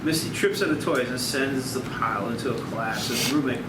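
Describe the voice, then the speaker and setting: A man's voice: medium pitch, fairly quick, far from the mic. A man narrating the stage directions of a screenplay at a table read. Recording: echoey, very noisy room, off mic.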